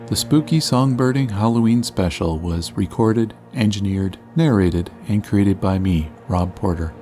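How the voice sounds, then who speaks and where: A man calmly reading a scripted closing narration as a podcast host. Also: lowish voice, soft background music. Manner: calm, measured, informative.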